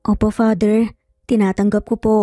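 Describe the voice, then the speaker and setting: A woman's voice: medium pitch, level tone, moderate pace, warm tone, studio quality, lightly acted. A woman with a Filipino accent voicing an anxious, heartbroken young bride in an audio romance story, subdued and earnest. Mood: nervous, dutiful. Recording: roomy echo, faint music behind.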